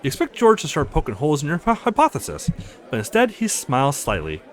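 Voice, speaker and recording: A man reading a visual novel aloud as a let's play host, with expressive, dramatized narration. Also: a little high, quick pace, some chatter behind.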